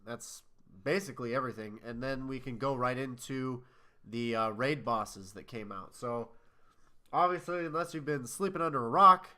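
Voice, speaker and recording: A man's voice: mid-range voice, normal speed, bright, forceful tone. A man co-hosting a casual podcast chat, sounding nasal and congested from a cold, rambling and correcting himself as he talks. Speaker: podcast co-host.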